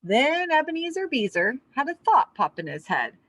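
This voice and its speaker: Animated woman reading aloud to children. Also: sits high, normal speed, slight background noise. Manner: expressive, addresses young listeners with asides.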